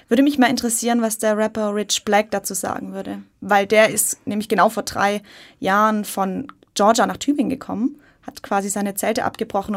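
Even-toned woman co-hosting casually. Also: mid-range voice. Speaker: radio co-host. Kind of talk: casual radio moderation.

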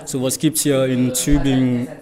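A man's voice: mid-range voice, flat delivery, moderate pace, a crowd in the background. A man from France, a rapper, giving informal, unscripted answers in an interview.

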